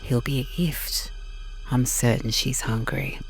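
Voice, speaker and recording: A man playing a cold, sinister woman who gives grim orders in a hushed, matter-of-fact way in a horror audio drama. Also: mid-range voice, steady tone, takes it slowly, soft background music, close to an everyday voice. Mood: cold, menacing.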